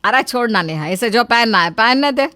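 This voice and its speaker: A woman voicing a vain, bossy middle-aged mother-in-law, loud and imperious, in a storybook tale. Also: fairly high pitch, lively intonation, fairly quick, no room sound, voice pushed up. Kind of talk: storybook character acting.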